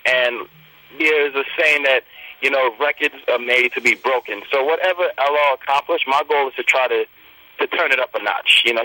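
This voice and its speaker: A man's voice: mid-range voice, bright, forceful tone, no echo, low-quality recording. A young man from Trinidad, a rapper, talking confidently and promoting himself in a casual interview. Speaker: rapper guest.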